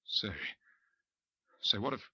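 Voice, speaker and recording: A man's voice: slow, pushed and bright, dry recording. An actor playing a frightened young man in a 1940s radio drama, anxious and stammering over his words. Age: young adult. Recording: dull lo-fi vintage radio recording.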